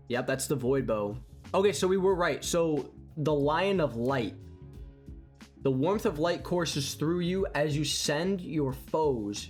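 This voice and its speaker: Excited, congested young man gaming commentator. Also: fairly high pitch. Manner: enthusiastic, rambling with restarts. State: congested with a cold. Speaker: gaming YouTuber. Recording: faint music behind.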